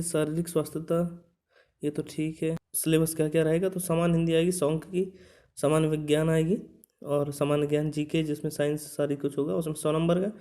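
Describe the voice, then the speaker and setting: A man with a soft, mellow voice pitched a little high. He talks like a coaching instructor going through an official notice for students, conversational and explanatory, often adding small tag words to check in. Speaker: exam coaching instructor and channel host. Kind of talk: informal explanatory lesson, reading out a recruitment notice.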